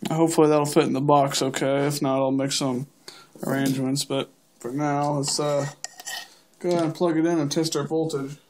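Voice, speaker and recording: A man's voice: a little high, normal speed. A young man casually narrating a hands-on DIY job, offhandedly talking to himself as he works. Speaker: DIY hobbyist demonstrating a build. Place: indoor workbench. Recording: steady background noise, amateur camera audio.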